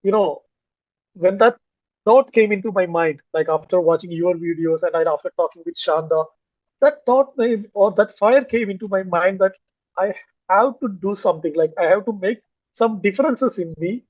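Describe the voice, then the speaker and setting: A high-voiced man from Kerala speaks English with a Malayali accent, pausing often on fillers and restarting his sentences. The sound is dry and dull, like muffled video call audio. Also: darkish tone, dry recording. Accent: Indian English, Malayali. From Kerala, India. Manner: hesitant, many fillers and restarts. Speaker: English learner, finance manager. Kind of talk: casual conversation on a video call.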